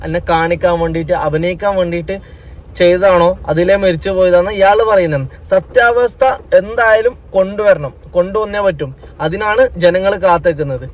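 A man with a Kerala Malayalam accent giving unscripted news commentary, addressing people directly and admonishingly with repeated points. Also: sits high. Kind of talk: unscripted opinion commentary to camera.

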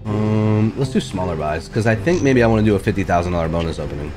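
A young man with a sore throat, hosting a live slot stream from his home room, swearing casually and talking to chat on a boom mic. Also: expressive, fast, music in the background. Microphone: boom studio mic with headphones.

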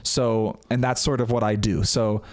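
A man's voice: on the low side, level tone, normal speed. A young man from Indiana, a comedian guest on a podcast, talking casually and hesitantly with many restarts, in a small studio on a close mic. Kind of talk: casual chat. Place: small studio room, indoors. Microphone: studio mic with headphones.

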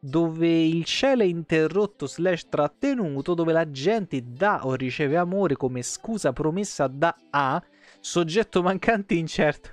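A man's voice: on the high side, animated, measured pace. A man, doing irreverent, sweary live game commentary and reading lore aloud with casual asides. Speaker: game streamer. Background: game music.

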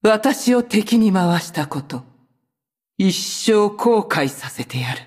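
A woman voicing a proud, vengeful sorceress from a video game, speaking in a cold, haughty, deliberate manner. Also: mid-range voice, big pitch swings, darkish tone, husky, heavily acted. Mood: cold, scornful. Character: proud, vengeful sorceress who betrayed her cursed clan. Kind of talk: video game character voice lines in Japanese.

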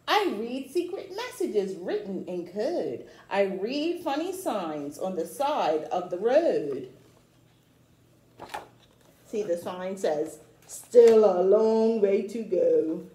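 A middle-aged woman reading a storybook aloud to young children, with chatty asides, in an expressive, encouraging way. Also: medium pitch, takes it slowly. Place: small room at home, indoors. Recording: echoey small room.

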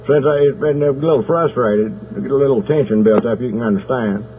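A man's voice: mid-range voice, a little fast, voice pushed down, close to an everyday voice. A man playing an aggrieved, belligerent country husband on a prank phone call, with a Southern accent from Oklahoma and a folksy, rambling way of talking. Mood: threatening. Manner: folksy, rambling, deadpan menacing. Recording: noisy, tinny telephone line.

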